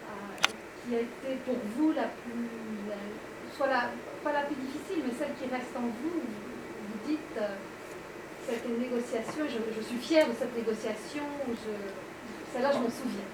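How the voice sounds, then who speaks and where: A woman's voice: fairly high pitch, normal speed. A woman in the audience asking a question, hesitant and restarting her sentences. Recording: echoey hall from far off with loud hiss.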